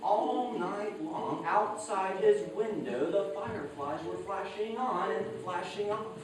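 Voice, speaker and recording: A man's voice: high voice, animated, slow, background noise. A man telling stories live to listeners in an informal, folksy style. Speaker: storyteller and musician. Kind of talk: live folk-tale storytelling, informal introduction. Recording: very echoey, distant microphone.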